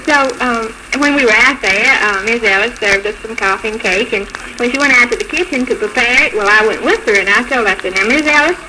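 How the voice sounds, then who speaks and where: A woman telling her own story haltingly and politely as a guest in a 1940s radio interview. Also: a little high. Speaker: bride, show guest. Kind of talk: unscripted personal storytelling in interview. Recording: noisy, crackly 1940s radio recording.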